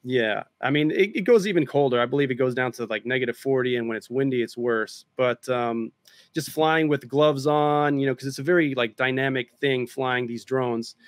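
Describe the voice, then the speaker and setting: A man chatting casually in an interview over a video call, explaining at length in a relaxed way. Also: medium pitch, moderate pace, dry recording. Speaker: drone filmmaker guest.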